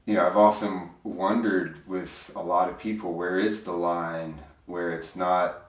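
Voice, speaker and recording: A man with a big, deep voice, speaking as an interview guest in a reflective, hesitant way, full of fillers and restarts. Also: steady tone, measured pace, recorded from a distance. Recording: roomy, voice from off mic.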